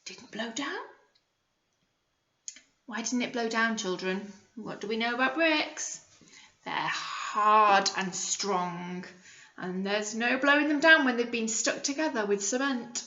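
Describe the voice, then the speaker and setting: A woman's voice: fairly high pitch, slow pace, bright, forceful tone. A woman, a teacher reading a storybook expressively to young children and prompting them with simple questions. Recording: echoey room, slightly muffled.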